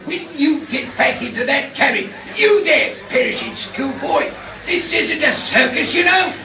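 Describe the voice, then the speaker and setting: An actor playing an officious, bossy railway guard who talks ungrammatically, in a 1950s radio drama. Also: male voice, very high voice, animated, measured pace, creaky, noisy background, full character voice. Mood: shouting. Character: officious middle-aged male railway guard.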